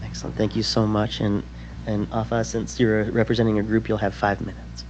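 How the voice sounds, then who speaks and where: A man's voice: fairly low pitch, monotone, a little fast, muffled recording, background noise. A man chairing a school board meeting, making polite, brief procedural remarks. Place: large board meeting room.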